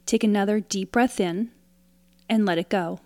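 A woman leading a guided meditation in a calm, soothing, instructional way, leaving pauses between short phrases. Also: medium pitch, no room sound. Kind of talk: guided meditation, scripted narration.

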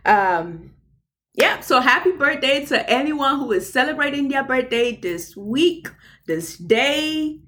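A woman's voice: high-pitched, lively intonation, slow, fairly bright tone. Nigerian woman co-hosting a playful chat. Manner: playful, stumbles over words, laughs easily. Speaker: co-host. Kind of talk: casual chat reading history facts.

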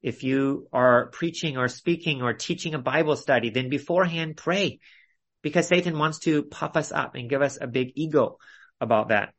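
A man's voice: mid-range voice, moderate pace, fairly bright tone. Man teaching an earnest Bible study. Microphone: online video call.

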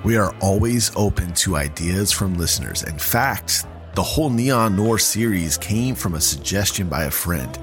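A man with a low-pitched voice speaks as a podcast host in a scripted audio interview. He explains things earnestly, with music playing clearly behind him. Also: animated, normal speed, few pauses.

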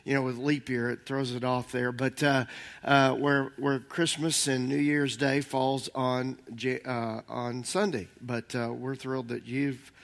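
An older man giving a conversational sermon as senior minister, slightly hoarse, rambling and halting with many fillers. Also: mid-range voice, level tone, fairly bright tone.

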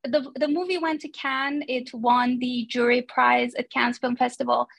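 A woman in her thirties from Iran, a company founder speaking as an interview guest, thoughtful and measured with many pauses. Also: a little high, little pitch movement, vocal fry, a bit bright, dry recording. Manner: thoughtful, frequent um and uh. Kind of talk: casual interview.

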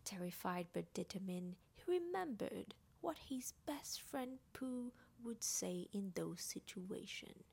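A woman narrating a children's bedtime story in an expressive, deliberate way. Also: mid-range voice, gravelly, husky.

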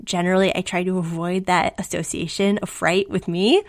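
A woman co-hosting a casual podcast, chatty and quick to laugh, her voice just back after losing it to the flu. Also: fairly low pitch, fairly even intonation. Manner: chatty, laughs often, self-deprecating. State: recovering from flu, voice recently lost. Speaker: podcast co-host. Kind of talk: casual chat.

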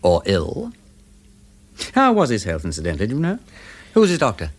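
Radio drama lead: excitable aristocratic sleuth, upper-class English, witty, wildly varied intonation. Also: male voice, on the low side, brisk, breathy edge, heavily acted. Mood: musing, probing. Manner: theatrical, playful, exclamatory. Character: aristocratic gentleman detective, excitable, witty, keen-eyed. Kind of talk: full-cast radio drama acting.

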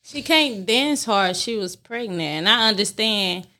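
A woman's voice: mid-range voice, animated, measured pace, sharp tone. A woman co-hosting a podcast in casual chat, defending her view and firing questions back. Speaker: podcast co-host.

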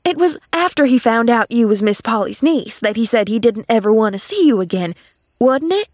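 An excitable housemaid from a storybook, acted out by a woman narrator. Her pitch rides high as she gossips in folksy, rustic, ungrammatical phrases. She is dramatic and repeats herself, recorded close and dry. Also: moderate pace, no room sound. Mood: excited. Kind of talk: audiobook character voice.

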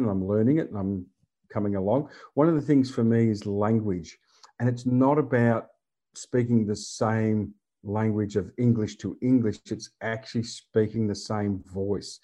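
Mellow, low-voiced man speaking earnestly. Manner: earnest and emphatic, with repeated phrases. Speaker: podcast guest, consultant. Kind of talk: conversational interview. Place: living in Germany.

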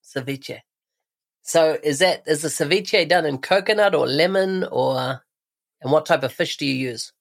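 A man's voice: fairly high pitch, unhurried, vocal fry. A man hosting a podcast interview, introducing and questioning in a conversational way. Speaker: podcast host.

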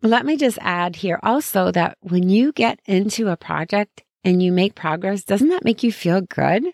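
Podcast host, female, chatty and encouraging, voice going hoarse, gravelly edges, dry close mic. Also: mid-range voice, animated, normal speed, a little mellow, no echo. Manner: chatty and encouraging, friendly asides. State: losing her voice. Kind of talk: casual solo podcast giving tips.

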